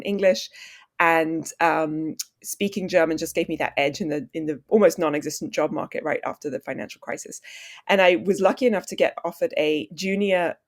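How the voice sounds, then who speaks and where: Articulate Swiss-raised woman telling her story. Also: sits low. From Switzerland. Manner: articulate, self-deprecating, many fillers. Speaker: author and journalist guest. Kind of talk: podcast interview, conversational storytelling.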